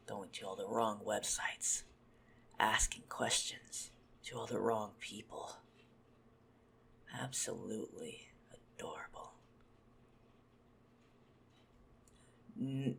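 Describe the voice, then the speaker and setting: A man playing a possessive, menacing, smug vampire stalker in an ASMR roleplay, haughty and teasing in a deliberate murmur. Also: fairly low pitch, gravelly, fairly bright tone, full character voice. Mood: smug, smitten.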